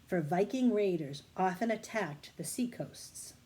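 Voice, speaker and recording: A woman voicing a kindly, wise female mentor in a storybook, speaking in a measured, reassuring, explanatory way. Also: mid-range voice, animated, mellow, breathy edge. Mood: explaining.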